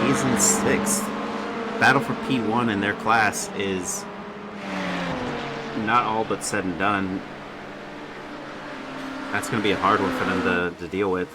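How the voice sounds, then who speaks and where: Low-voiced man commentating over loud noise. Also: fairly even intonation, slow, pauses often. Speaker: race commentator. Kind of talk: casual sim racing commentary.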